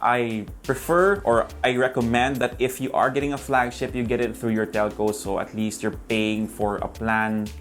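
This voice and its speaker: A man reviewing phones in a relaxed, conversational way, talking straight to his viewers. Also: mid-range voice, normal speed, hardly any pauses, soft background music. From Philippines. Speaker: tech reviewer. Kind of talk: casual scripted tech review.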